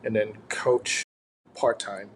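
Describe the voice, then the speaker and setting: A man's voice: lowish voice, fairly even intonation, slow pace, slight background noise, low-quality recording. A man from Thailand, a sports coach chatting casually as an interview guest. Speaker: golf coach, podcast guest.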